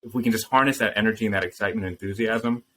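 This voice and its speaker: A man talking earnestly and thoughtfully as a founder guest in an interview, pausing with frequent ums. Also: fairly low pitch, a bit bright, slight background noise. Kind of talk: casual interview answers.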